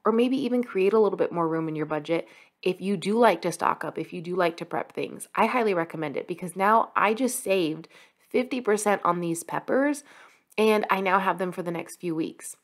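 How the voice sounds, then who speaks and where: Woman, young adult, casual kitchen vlogger, slightly low voice, chatty and upbeat. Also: brisk. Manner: chatty, upbeat, frequent "like" fillers. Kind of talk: casual money-saving cooking vlog voice-over. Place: home kitchen.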